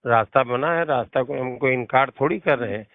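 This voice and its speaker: Man, mid-pitched, defensive and placating, private call over a thin, narrow phone line. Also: a little fast, dry recording. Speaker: caller, politician. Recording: tinny, band-limited recorded phone call.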